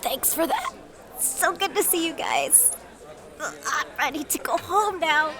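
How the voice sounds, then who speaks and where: A woman's voice: high-pitched, huge pitch swings, slow pace, bright, forceful tone, heavily acted. An actress playing a cowardly, carefree young woman who gambles, wheedling and whiny, in an audio drama. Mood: sheepish pleading. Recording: busy crowd and vehicle background.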